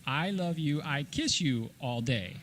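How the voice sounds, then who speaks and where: A middle-aged man co-hosting a casual podcast, telling stories in an animated way and given to laughing. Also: a little high, a little slow, slight background noise. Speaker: podcast co-host. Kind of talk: casual podcast chat and storytelling.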